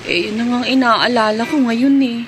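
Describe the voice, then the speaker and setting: Woman acting hopeful Filipina migrant housemaid, radio drama, higher-pitched, expressive, faint background noise. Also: brisk, close to an everyday voice. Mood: earnest, hopeful. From Philippines.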